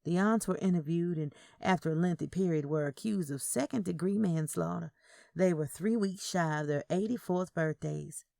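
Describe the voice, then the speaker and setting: Woman narrator reading fiction, gravelly lowish voice, super dry close sound. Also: normal speed, mellow, no echo. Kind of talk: scripted first-person story narration.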